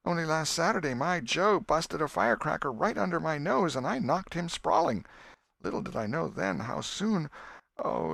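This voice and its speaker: A man acts out a grieving country mother in a storybook, his pitch lifted high. She wails in folksy lament, doting on her child and full of remorse. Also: vocal fry, fairly bright tone, dry recording. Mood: tearful, regretful.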